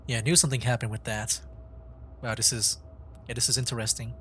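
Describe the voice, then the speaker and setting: A man's voice: medium pitch, little pitch movement, fast talker, fairly bright tone, a little hiss or hum. A man who streams games as a VTuber, giving casual live reactions to the story.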